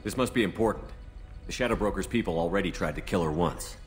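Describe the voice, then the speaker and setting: Stoic commander for a sci-fi game man acting: deep register, direct and matter-of-fact, terse lines over faint music. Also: fast talker, close to an everyday voice. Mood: focused. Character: male military commander, stoic, direct, steady investigator.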